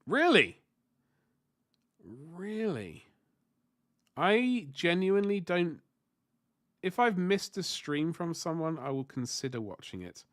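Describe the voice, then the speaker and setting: A man's voice: sits high, sing-song, takes it slowly, pauses often, fairly bright tone. A man with a stuffy nose and sore throat from flu, chatting casually with viewers while he paints miniatures, rambling and correcting himself. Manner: rambling, self-correcting, mild swearing. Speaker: livestream host painting miniatures. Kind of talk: casual chat answering viewer comments.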